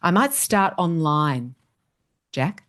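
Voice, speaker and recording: Brief: Australian woman, seminar chair, low voice, brisk friendly housekeeping. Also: lively intonation, fairly bright tone. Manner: friendly, organising, quick asides. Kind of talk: chairing a Q&A session. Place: seminar room.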